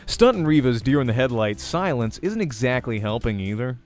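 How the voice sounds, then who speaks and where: Guy doing a let's play read of a visual novel, mid voice, soft music behind. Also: mid-range voice, very animated, fairly quick. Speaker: let's-player reading a visual novel aloud. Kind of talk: expressive narration of a game story.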